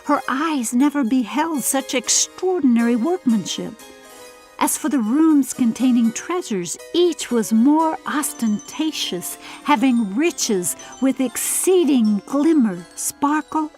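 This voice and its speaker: A woman with a high voice narrates a dramatic fantasy audiobook. She takes her time over the formal, theatrical phrasing. Faint music plays underneath. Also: warm tone. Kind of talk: scripted dramatic storybook narration.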